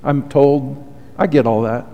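A man's voice: mid-range voice, fairly even intonation, unhurried, creaky, muffled recording. A man preaching a sermon as pastor, earnest and heartfelt, with a soothing voice. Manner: earnest, heartfelt preaching with soft confessional asides. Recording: long hall reverb.

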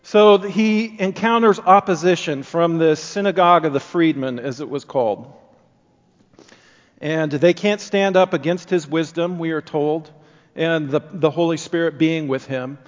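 A man preaching a sermon in earnest, building emphasis and then dropping to quieter passages. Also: on the high side, unhurried, long reverb tail, dull audio. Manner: earnest, rising and falling emphasis.

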